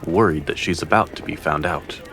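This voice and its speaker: A man's voice: rumbling low, fairly quick, gravelly, a bit bright, soft background music, full character voice. A man narrating a fantasy audio drama as a solemn storyteller, in scripted dramatic style. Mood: measured.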